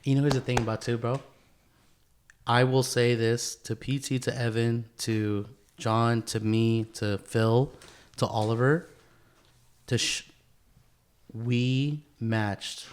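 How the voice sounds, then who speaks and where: A man's voice: mid-range voice, little pitch movement, takes it slowly, long pauses. A young man, a podcast host, chatting casually with friends in a boisterous, slangy way with plenty of swearing. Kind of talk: casual chat between friends.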